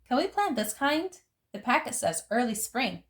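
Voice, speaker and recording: A woman voicing an exuberant, mischievous second-grade child from a picture book, eager and excitable. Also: on the high side, moderate pace, voice pushed up.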